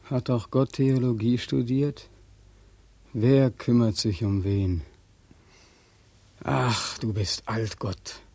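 Casting: despairing young ex-soldier, mellow husky voice, anguished and sardonic, for vintage radio drama in a dry studio. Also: male voice, medium pitch, fairly even intonation, slow, long pauses, no echo. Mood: accusing, bitter. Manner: anguished, accusing, sardonic, rhetorical repetition. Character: bitter, despairing young war veteran, dying in the street. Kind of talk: 1940s German radio drama acting.

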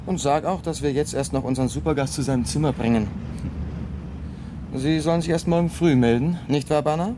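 Sachlicher Streifenpolizist von einem Mann gespielt, Autogeräusche. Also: male voice, on the high side, fairly quick, natural voice. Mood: businesslike. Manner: matter-of-fact. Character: businesslike male patrol constable, brisk colleague. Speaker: actor in radio drama. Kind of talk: 1970s German radio play acting. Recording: car noise behind voice.

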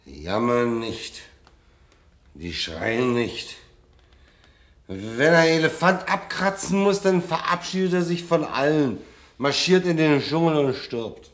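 An actor playing a drunken, self-pitying man in a radio drama, rambling and halting between mutters and outbursts. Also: fairly high pitch. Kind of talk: German radio drama acting.

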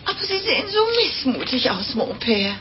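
A woman's voice: on the high side, sing-song, moderate pace, background noise. An actress playing a merry, genteel lady traveller in an old radio play, gushing and chatty. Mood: concerned. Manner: effusive, gushing. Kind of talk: 1950s German radio play acting. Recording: dull old radio recording, crowded room.

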